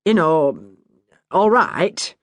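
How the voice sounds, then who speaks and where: A woman's voice: low-pitched, sing-song, extremely slow, no echo, studio quality, heavily acted. A woman voicing a good-natured young man, a would-be sailor, talking in a teasing, easygoing way. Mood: hesitant.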